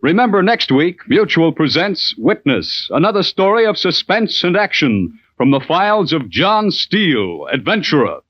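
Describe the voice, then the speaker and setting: A man's voice: on the high side, big pitch swings, normal speed, hardly any pauses. A man making scripted announcements in the style of 1940s radio. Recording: muffled old radio broadcast. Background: music.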